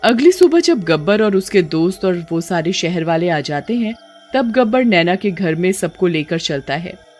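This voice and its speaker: Lively storyteller over faint music. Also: medium pitch. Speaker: storyteller, narrator. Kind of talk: scripted horror story narration.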